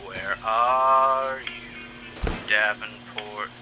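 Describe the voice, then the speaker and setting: A psychotic gleeful agent, radio drama, low slow whisper, menacing, music underneath. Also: male voice. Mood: gloating. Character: psychotic male special agent hunting prey, gleeful. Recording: audio drama with music bed.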